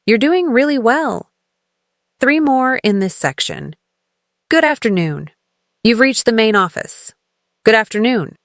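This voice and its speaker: A woman leading a scripted English lesson as a teacher, encouraging the learner and clearly enunciating model phrases for repetition. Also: a little high, lively intonation, unhurried, pauses often, no echo, clean studio sound. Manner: encouraging coaching.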